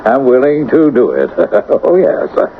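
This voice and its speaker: A smug stammering convict. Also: male voice, medium pitch, huge pitch swings, moderate pace, slight background noise. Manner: stammering, chuckling, self-satisfied. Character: smug lazy male convict who refuses to leave. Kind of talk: 1970s radio acting. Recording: tinny old 1970s radio broadcast.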